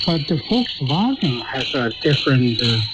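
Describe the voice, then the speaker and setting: A man giving commentary in halting, careful phrases on a shortwave radio panel. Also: mid-range voice, very animated, very noisy. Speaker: panel commentator, research fellow. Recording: shortwave radio, loud music-like interference.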